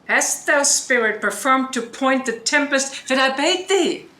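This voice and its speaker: A woman playing a commanding sorceress and mistress of spirits in a Shakespearean audio drama, with a grand, measured, authoritative delivery. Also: sits high, lively intonation, bright, forceful tone, full character voice. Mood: summoning. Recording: roomy remote recording with faint noise.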